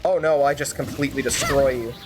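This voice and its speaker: A woman's voice: rumbling low, fairly even intonation, fast. A young woman giving casual live gameplay commentary as a streamer, musing aloud in a rambling way. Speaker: game streamer. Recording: stream with faint game music behind.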